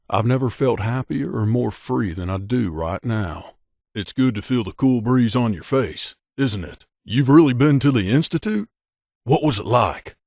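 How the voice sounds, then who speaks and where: A man's voice: mid-range voice, a little mellow, dry recording, clean studio sound. A man playing an earnest, guarded wasteland drifter and loyal companion in a video game, speaking conversationally. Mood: wary, casual. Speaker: voice actor.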